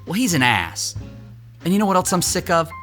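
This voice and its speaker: A man's voice: high voice, sing-song, fairly quick, a bit bright, soft background music. A man playing a cocky, whiny, entitled young rich son in an audio drama, sarcastic and theatrical. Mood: sarcastic griping. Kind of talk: scripted audio drama acting.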